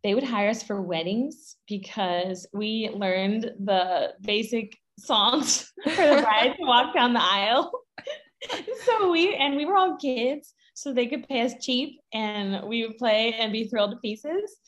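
A woman's voice: on the high side, lively intonation, unhurried, a bit bright. Bubbly woman who laughs a lot. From Guatemala and Mexico. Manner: bubbly, laughs often, rambling asides. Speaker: podcast guest. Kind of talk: casual interview chat.

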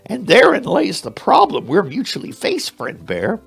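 A man voicing a crafty, learned little male mouse who speaks in a squeaky, flowery, grovelling way. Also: huge pitch swings, moderate pace, creaky, fairly bright tone, slightly rough, full character voice. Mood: pleading. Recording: faint music behind.

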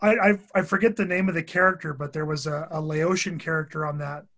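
A man co-hosting a podcast, throwing in wisecracks and quick asides. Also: a little high, steady tone, fairly bright tone. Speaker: podcast co-host. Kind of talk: casual podcast chat.

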